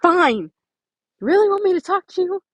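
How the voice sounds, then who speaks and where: A woman's voice: extremely high pitch, sing-song, normal speed, no echo, voice pushed up. A woman voicing a brooding, defensive teenage boy who is bitter and emotional in a story reading. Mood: upset, yelling.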